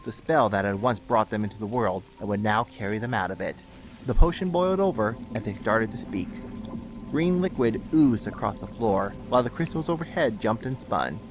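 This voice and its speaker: A man's voice: on the low side, animated, moderate pace. A man reading scripted dramatic story narration. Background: music under the narration.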